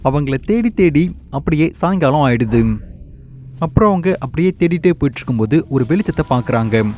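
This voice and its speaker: A man narrating an animated horror story in a steady, plain manner. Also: a little high, moderate pace, a little hiss or hum.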